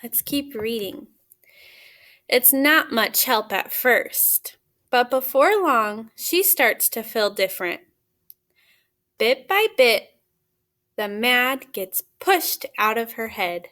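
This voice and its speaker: Female picture-book reader, high-pitched and unhurried, teacher addressing little kids with simple questions. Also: expressive, sharp tone. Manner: simple questions with pauses for answers. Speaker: teacher reading aloud to children. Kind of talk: picture-book read-aloud with questions to young children.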